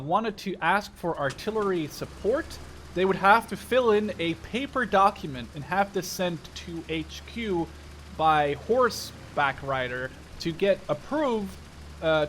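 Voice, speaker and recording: A man in his twenties with a rather high-pitched voice commentates a game in a casual, informal way. He rambles and drifts into storytelling asides. Vehicle and game noise runs faintly behind his voice. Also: fairly bright tone. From Netherlands. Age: 20s. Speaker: gaming video host.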